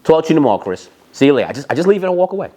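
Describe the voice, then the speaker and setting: A man telling anecdotes in an animated, emphatic way, repeating phrases and acting out bits of dialogue. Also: fairly high pitch, rapid-fire. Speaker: storyteller, music industry executive.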